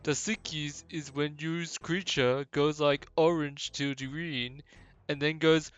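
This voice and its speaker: Guy doing a slow simple ogre for a game, kinda high smooth voice, broken grammar, earnest. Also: steady tone, fairly bright tone, dry recording. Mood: wary, warning. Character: simple-minded ogre speaking broken English, earnest, cautioning. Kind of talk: hobby game voice acting.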